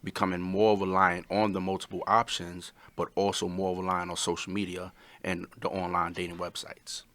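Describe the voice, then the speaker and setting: A man with a very deep yet bright voice in a casual panel discussion. He speaks earnestly and builds long, explanatory sentences. His delivery stays steady and thoughtful. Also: moderate pace. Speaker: panel guest.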